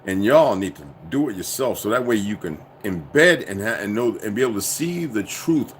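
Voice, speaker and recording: A man rants straight to his viewers in a profane, preachy and insistent way. His pitch swings widely as he pushes each point, and a faint hiss sits under his voice. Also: animated, moderate pace. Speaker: commentator. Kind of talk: ranting monologue to viewers.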